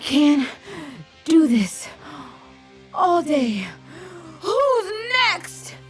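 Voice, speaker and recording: Teen heroine leader, high and lively, determined, light music underneath. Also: female voice, very slow, full character voice. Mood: wry, determined. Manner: resolute, wry. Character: brave determined teenage heroine, team captain. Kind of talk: superhero audio drama acting.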